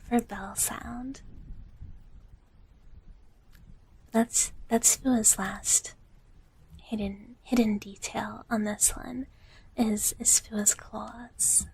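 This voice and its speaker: A woman as a sweet dragon big sister, very breathy whispers, slow pace, gentle repeated phrases, live ASMR roleplay. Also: fairly high pitch, exaggerated voice, low-quality recording. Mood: sweet, chatty. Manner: gentle, halting, often repeats phrases softly.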